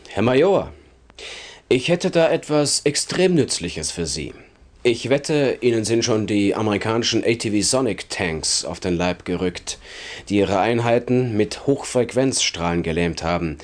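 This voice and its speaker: A wheedling underworld dealer, acted by a man, makes a sales pitch in a video game cutscene. The voice sits fairly low but swoops about, eager to ingratiate itself with the customer. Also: close to an everyday voice. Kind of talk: scripted game cutscene monologue.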